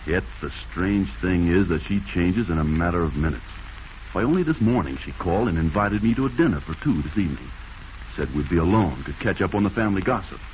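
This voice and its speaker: A male actor playing a calculating, glib nephew with a schemer's smooth talk in a 1940s radio drama. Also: low-pitched, expressive, a little fast, darkish tone. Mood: feigned worry. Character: cold, scheming nephew, smooth-talking and feigning concern. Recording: noisy, muffled 1940s radio recording.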